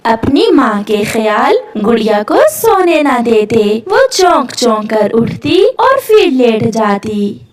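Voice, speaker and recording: A woman's voice: on the high side, big pitch swings, slow pace, distant mic. A woman storyteller reading scripted narration for a children's cartoon tale.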